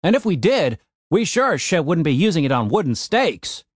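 This is a cynical, foul-mouthed boss from a storybook, acted out by a man narrator. He is blunt and sarcastic, always exasperated. His pitch swings widely, in a very dry recording. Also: sing-song, moderate pace, breathy edge, no room sound. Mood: dismissive.

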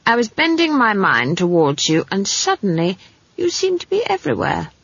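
A woman's voice: mid-range voice, big pitch swings, moderate pace, creaky, fairly bright tone, husky, dry recording, muffled recording, pitched above the performer's own voice, full character voice. A woman voicing a sour, brusque old country witch in a storybook, wry and knowing. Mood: matter-of-fact. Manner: sour, brusque, cryptic, scolding.

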